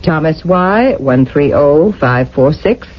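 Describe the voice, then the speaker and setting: A stern, officious programmer of a future society speaks in formal, bureaucratic phrases. It is radio drama acting, heard through a dull vintage recording. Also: female voice, low-pitched, expressive, slow, heavily acted. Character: cold, officious female district programmer of a future state.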